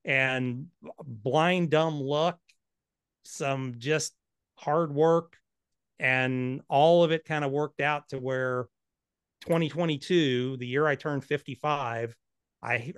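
A man's voice: mid-range voice, fairly bright tone, clear, resonant tone, no echo, studio quality. A man in his fifties, an author and financial planner, answering interview questions in a blunt, candid, self-deprecating way. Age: 50s.

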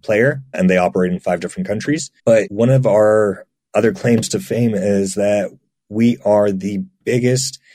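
A man giving relaxed, chatty answers as an interview guest, often restarting his sentences. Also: lowish voice, no room sound. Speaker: guest, marketplace team member.

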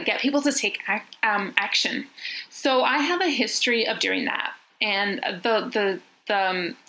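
A woman's voice: a little high, sharp tone, rough recording. A woman from New York with an American accent, chatting as a podcast guest, laughing now and then and restarting her sentences. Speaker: guest copywriter. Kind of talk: casual interview chat.

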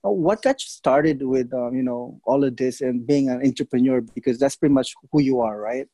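A man of Filipino origin hosting a podcast, friendly and halting, with frequent fillers. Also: mid-range voice, mellow, dry recording. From Philippines. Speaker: podcast host. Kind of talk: casual interview hosting.

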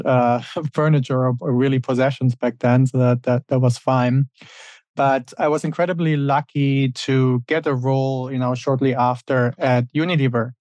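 A man in his thirties from Germany, a podcast guest answering in a relaxed way, with frequent fillers and restarts as he thinks aloud. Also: medium pitch, dry recording. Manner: thoughtful, many fillers and restarts. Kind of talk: casual interview answers.

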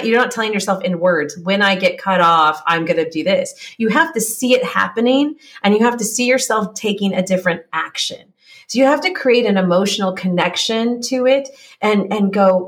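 A woman from California with an American accent, explaining things conversationally as a coach and podcast guest, encouraging and acting out little inner dialogues. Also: mid-range voice.

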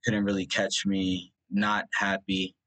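A man's voice: sits low, monotone, takes it slowly, pushed and bright, poor audio. A young man from India whose first language is Punjabi, a guest thinking aloud about his past in a rambling way, full of fillers and restarts. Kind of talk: reflective personal conversation.

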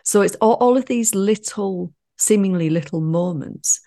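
A woman's voice: mid-range voice, normal speed, gravelly, mellow. A woman co-hosting a podcast, chatting in a jokey, playful way and swearing casually. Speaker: podcast co-host.

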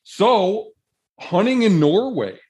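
A man hosting a podcast, asking casual questions in a halting way, searching for words. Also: high voice, sing-song, darkish tone. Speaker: podcast host.

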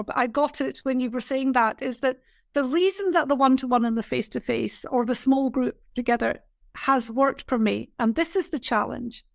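Older woman, high pitch, dry close sound; emphatic mentor in a podcast interview. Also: creaky, no echo. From England. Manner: emphatic, repeats key phrases. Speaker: business mentor guest. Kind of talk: reflective interview talk.

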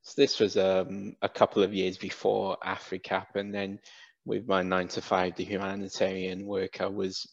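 A man's voice: low voice, completely flat delivery, normal speed, vocal fry. A man from the UK with a British accent, chatting as a podcast guest and hesitantly correcting himself as he goes. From UK, Wales. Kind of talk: casual conversational interview. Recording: slightly dull, lo-fi sound.

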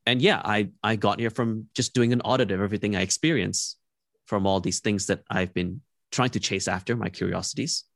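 Young man from Malaysia, low voice, dry close sound, reflective interview guest. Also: creaky, dry recording. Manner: thoughtful, measured, frequent "like" and restarts. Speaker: podcast guest.

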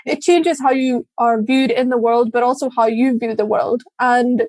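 A woman's voice: a little high, fairly even intonation, moderate pace, a little mellow, dry recording. Irish woman, candid interview guest. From Ireland. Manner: reflective, candid, with light laughs. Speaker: guest, diversity and inclusion director. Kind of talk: interview answers.

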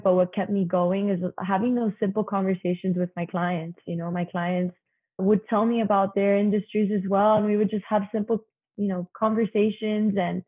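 A woman's voice: mid-range voice, breathy edge. A woman in her early twenties with a young-sounding voice, chatting as an interview guest with lots of "you know" fillers. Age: 20s. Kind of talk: casual interview talk. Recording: tinny phone-like line.

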